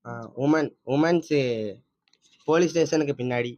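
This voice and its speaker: A man's voice: a little high, measured pace, mellow, dry recording. A man chatting casually, as a prank caller on a homemade vlog. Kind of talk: casual vlog and phone chat. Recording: dull, lo-fi phone-video sound.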